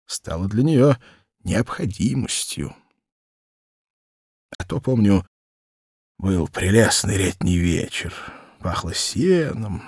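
A man narrating a first-person story as an audiobook, theatrical and wry, with deliberate pauses. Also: low-pitched, vocal fry, slightly rough, no room sound, clean studio sound. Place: studio.

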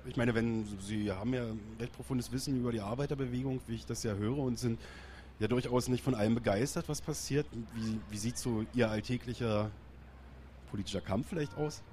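A man's voice: mid-range voice, fairly quick, dark tone, a little hiss or hum. A man from Berlin working as a radio reporter, asking probing questions in a casual street interview outdoors. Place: outdoors on a busy city square.

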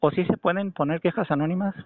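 A Mexican man making a call to argue a point, speaking insistently and haltingly, often repeating phrases for emphasis. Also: high-pitched, steady tone, dry recording. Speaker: caller. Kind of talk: phone complaint, argumentative questioning. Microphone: telephone. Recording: narrow, tinny telephone call recording.

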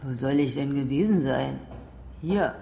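A woman's voice: extremely low pitch, unhurried, vocal fry, dark tone, in a large hall, slight background noise, heavily acted. A woman acting an anxious, defensive mother in a radio crime drama, giving testy, evasive answers. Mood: defensive, wary. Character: anxious, defensive mother of a grown or teenage son.

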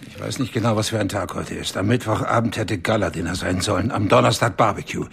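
An actor playing a pompous, demanding banker in a radio play, ordering staff about. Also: male voice, fairly low pitch, quick pace, creaky, a little hiss or hum. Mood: imperious. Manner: pompous, commanding.

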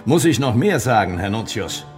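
An actor in a German radio play playing a shrewd, self-assured court politician who speaks in a deliberate, pointed way. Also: male voice, fairly low pitch, big pitch swings, faint music behind.

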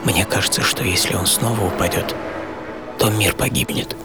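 A man's voice: low-pitched, moderate pace. A man reading a scripted first-person radio story in a reflective, intimate, wry way. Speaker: radio host and narrator. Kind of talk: scripted first-person audio drama narration. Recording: voice over background music.

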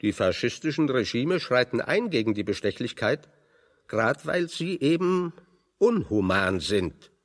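An actor playing a wry, pedantic intellectual exile in German radio drama, explaining things with irony. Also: male voice, mid-range voice, very animated, unhurried, in a large hall. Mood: pedantic, ironic. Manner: pedantic, ironic, expository. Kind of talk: German radio play dialogue.

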